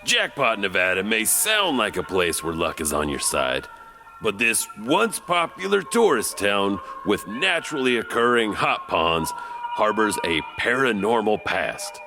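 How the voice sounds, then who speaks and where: Man as low, lively, sly horror storyteller. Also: a little slow, over music. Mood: ominous, sly. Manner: theatrical, chuckling, teasing. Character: sly, enigmatic male janitor, horror storyteller guide. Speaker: host. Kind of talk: scripted horror anthology narration.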